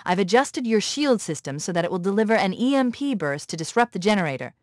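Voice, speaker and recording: A woman voicing a quick-witted, analytical military artificial intelligence in a video game, with a crisp, authoritative manner. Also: mid-range voice, sing-song, a little mellow, no echo, clean studio sound, natural voice. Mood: analytical, focused. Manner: crisp, precise, authoritative briefing.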